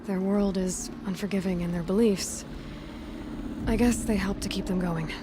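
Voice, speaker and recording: A woman playing a young, compassionate, self-reliant warrior in a video game, speaking in a plain, earnest and gentle manner. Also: mid-range voice, fairly even intonation, normal speed, noisy background, lightly acted. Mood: gentle, wry. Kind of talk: scripted video game cutscene acting.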